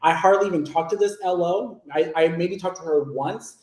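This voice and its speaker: A young man from Miami tells stories on a video call, his voice sitting a little high and bouncing around an echoey room. He is chatty and laughs easily as he speaks. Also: moderate pace, a little mellow. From Miami, Florida. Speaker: guest, loan originator. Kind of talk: casual video chat interview.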